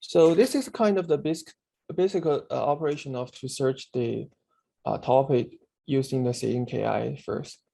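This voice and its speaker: A man giving a screen-share tutorial on searching a database, speaking haltingly with many fillers and restarts. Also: medium pitch, vocal fry, mellow. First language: Chinese. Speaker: instructor demonstrating a database.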